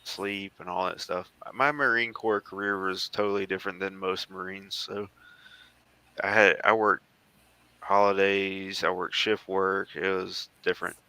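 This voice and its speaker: An American man in his thirties chatting casually as he talks through old photos, halting and rambling as he goes. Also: low voice, flat delivery, pushed and bright, smooth, no echo. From southeastern United States. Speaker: guest, former Marine air traffic controller.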